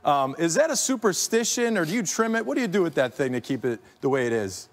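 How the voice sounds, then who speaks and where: A male football analyst chats with a teasing, joking manner. His voice sits a little high for a man. The talk is loose and friendly. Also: big pitch swings, fast talker, long reverb tail. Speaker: analyst and former player. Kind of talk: casual TV chat.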